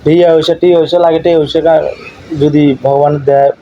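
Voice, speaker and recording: A man's voice: fairly high pitch, little pitch movement, fast. A man with an eastern Bengali dialect arguing casually on a recorded call, insistently repeating his phrases. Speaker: YouTube video creator. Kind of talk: casual domestic argument on a call. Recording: dull lo-fi phone call recording, faint noise.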